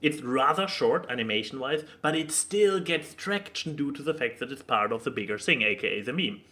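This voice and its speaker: A man with a bright, forward voice hosts a casual podcast. He talks in a halting way, stammering and doubling back on words as he chats. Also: mid-range voice, lively intonation. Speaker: podcast host. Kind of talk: casual video podcast chat.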